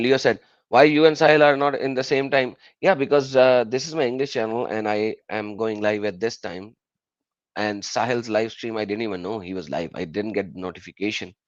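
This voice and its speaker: A man whose first language is Urdu, hosting a live call-in stream in casual English. He explains with many fillers and an animated delivery. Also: on the low side, no echo, dull audio.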